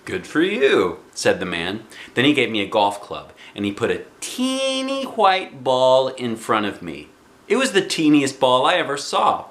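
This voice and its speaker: A man in his forties reading a children's book aloud expressively, with dramatic pauses and emphasis. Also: medium pitch, wildly swooping pitch, a bit bright. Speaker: storybook reader. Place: small living room indoors.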